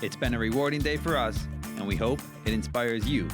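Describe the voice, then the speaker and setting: A male TV presenter reads a scripted feature narration at a medium pitch. Loud music runs beneath his voice throughout. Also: fairly quick. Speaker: TV news presenter.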